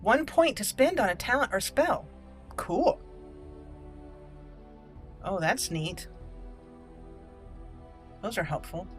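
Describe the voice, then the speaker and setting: A woman giving chatty gameplay commentary as host, slipping now and then into measured in-character roleplay speech. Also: mid-range voice, sing-song. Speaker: let's play video host. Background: game music.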